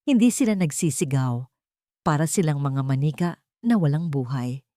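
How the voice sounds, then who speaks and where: Woman doing dramatic story narration, kinda low and soft-toned, super dry recording. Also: lively intonation, moderate pace, no echo.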